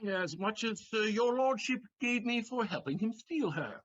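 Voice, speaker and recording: A man's voice: high-pitched, expressive, normal speed, fairly bright tone, highs cut off. A man playing a witty, impudent servant barber in a stage comedy audio play, sly and arch. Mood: sly, defiant.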